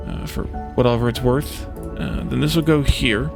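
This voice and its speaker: A man's voice: mid-range voice, moderate pace, creaky, over music. A man giving casual let's play commentary, thinking aloud in a hesitant, self-questioning way.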